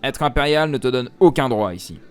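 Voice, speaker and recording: A bossy male gate guard voiced by a storyteller, loud and pitched up as he barks at a traveller. His tone is gruff and commanding, like a medieval storybook soldier. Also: expressive, fairly quick, lightly acted. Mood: barking. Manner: loud, barking orders.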